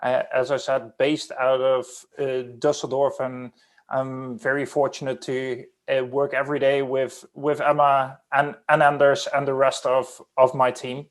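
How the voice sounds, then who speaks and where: A man with a Dutch accent introducing himself on a video call in a measured way, with some "uh"s. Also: medium pitch, level tone. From Netherlands. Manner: measured, with filler "uh"s and small restarts. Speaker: recruiting team lead. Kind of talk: webinar introduction.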